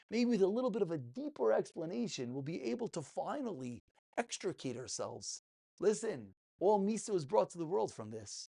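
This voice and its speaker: A man's voice: high voice, gravelly, darkish tone. A man giving a passionate, informal religious lesson as a rabbi, emphatic, full of rhetorical questions and repeated phrases.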